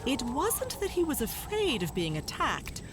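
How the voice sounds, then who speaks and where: A woman reading scripted literary narration for a children's audio drama in a measured, dramatic, descriptive way. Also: high-pitched, wildly swooping pitch, background noise.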